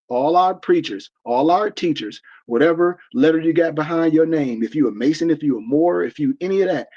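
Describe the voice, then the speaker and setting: A man's voice: on the high side, a little mellow. Emphatic American man in his forties, lo-fi. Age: 40s. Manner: preachy and emphatic, many restarts. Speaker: discussion host. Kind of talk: livestream discussion. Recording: dull lo-fi stream audio.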